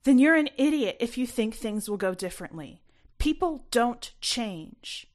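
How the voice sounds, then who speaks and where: A woman voicing a hard, embittered mother in a story, sharp, scornful and forceful. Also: on the high side, expressive, a little slow, pitched above the performer's own voice. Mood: scornful anger.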